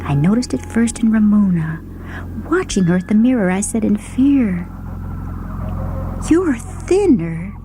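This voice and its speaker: A woman's voice: mid-range voice, animated, moderate pace, dark tone, slight background noise. A woman giving a dramatic first-person reading of a short story as its narrator. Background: background music.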